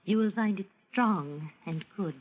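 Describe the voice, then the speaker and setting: A woman's voice: medium pitch, measured pace, narrow, tinny audio, natural voice. An actress playing a gaunt, eerie serving woman, coaxing and sinister, in vintage radio horror style. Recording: thin, noisy old radio recording.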